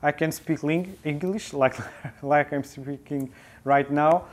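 A man's voice: a little high, steady tone, takes it slowly. Portuguese-accented man, thoughtful and hesitant. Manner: thoughtful, frequent filler hesitations. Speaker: interview guest, photojournalist. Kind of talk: casual interview answers. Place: indoor studio with sofa. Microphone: lapel.

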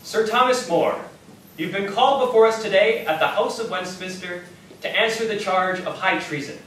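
A man playing a blustering English nobleman in a period stage play, speaking formally and forcefully. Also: sits high, moderate pace, close to an everyday voice. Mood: stern. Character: blustering English nobleman, lord presiding at trial. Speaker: stage actor. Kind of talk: theatrical period stage acting. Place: large theatre stage, indoors. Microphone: distant camera in the audience. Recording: echoey theatre from far off, background noise.

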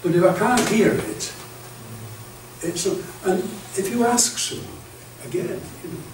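An older man lecturing clergy in a meeting room, his voice a touch higher than most men's. He talks in a chatty, anecdotal way, tripping over restarts. The sound is echoey and distant, picked up by a camera across the room, with a faint hiss behind. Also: measured pace. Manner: chatty, anecdotal, many restarts and stammered repeats. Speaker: bishop leading clergy training. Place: classroom-sized meeting room with projector screen. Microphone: far camera.

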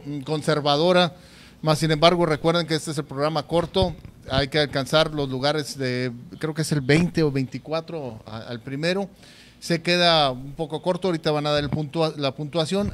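A man co-hosting a sports show, giving commentary and analysis into a handheld microphone. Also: on the high side. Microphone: handheld microphone, masked speaker. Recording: noticeable noise behind the voice.

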